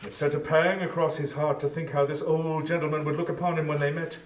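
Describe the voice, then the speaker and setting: Male storybook narrator, high voice, expressive and measured, heard a little away from the mic. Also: slight background noise. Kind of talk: scripted dramatic storybook narration. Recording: echoey room, distant from microphone.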